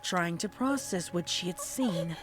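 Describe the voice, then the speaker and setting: A woman's voice: fairly low pitch, expressive, measured pace, soft background music. A woman reading a scripted horror story as a dramatic narrator.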